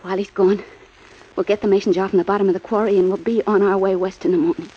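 An actress playing a scheming young woman begging her lover in a 1940s radio drama, pleading and breathy. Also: medium pitch, level tone, quick pace, lightly acted. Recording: dull old radio recording.

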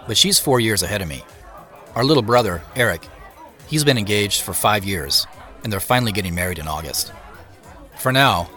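Audio drama lead: guarded, playful CEO brother, conversational first-person narration, lowish pitch, light music underneath. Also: male voice, sharp tone. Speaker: actor and narrator.

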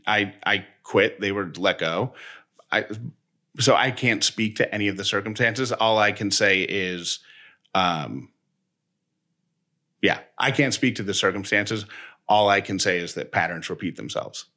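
Guy cohost doing earnest heartfelt talk, kinda low but really bright punchy voice, reassuring, casual. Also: a little slow. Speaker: talk show co-host. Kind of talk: casual reflective personal talk.

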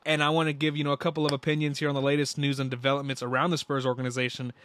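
A young man co-hosting a sports podcast, talking in an excitable, slangy way and repeating himself. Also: mid-range voice, rapid-fire, no room sound. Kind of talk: casual basketball chat.

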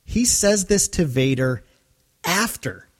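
A man's voice: a little high, expressive, slow pace. A man co-hosting a podcast in casual fan discussion, enthusiastic and rambling. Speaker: podcast co-host.

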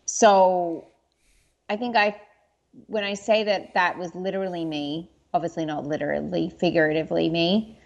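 A woman speaking as an interview guest, earnest and candid, halting at times with restarts as she reflects on personal experiences. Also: lowish voice, fairly even intonation, vocal fry, lo-fi sound.

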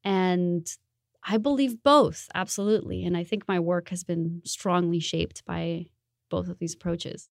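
A woman's voice: fairly low pitch, steady tone, unhurried, gravelly, no echo, clean studio sound. A woman in her thirties from Colombia, a designer answering interview questions in an earnest, reflective way. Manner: earnest and reflective, with occasional laughs.

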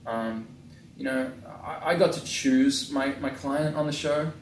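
A man, a tattoo artist being interviewed in his shop, talking casually in halting bursts with many restarts. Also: medium pitch, far from the mic, slight background noise. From New Zealand. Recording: echoey room, a bit off-mic.

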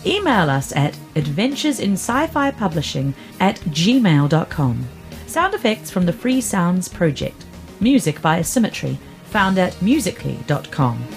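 A woman's voice: on the low side, big pitch swings, unhurried, faint music behind. A woman reading a scripted podcast outro as its announcer.

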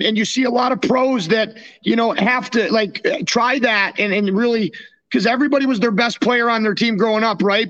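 Very high-voiced North American man, dull audio. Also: a bit bright. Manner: informal, buddy-like. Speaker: sports podcast co-host. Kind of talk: casual sports chat.

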